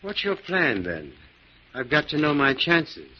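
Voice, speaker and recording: An actor playing a tough, wary moneylender in a 1940s radio crime drama, talking in blunt slang. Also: male voice, a little high, wildly swooping pitch, a little slow, slight background noise. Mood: menacing. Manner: blunt, slangy, hard-bargaining. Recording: muffled old 1940s radio recording.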